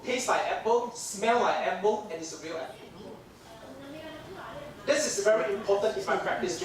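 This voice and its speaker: Singaporean guy giving a talk, highish voice, big echoey hall, crowd noise, lots of ums. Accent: Singaporean English. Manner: chatty, joking, many fillers. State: jet lagged. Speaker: dental lecturer. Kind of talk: conference lecture. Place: large lecture hall. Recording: echoey hall mic from far off, audience noise.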